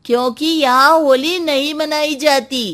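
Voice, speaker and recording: A woman's voice: high-pitched, fairly even intonation, measured pace, heavy background noise, pitched above the performer's own voice. A woman voicing a cautious young villager in a cartoon story, friendly but dismissive. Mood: evasive.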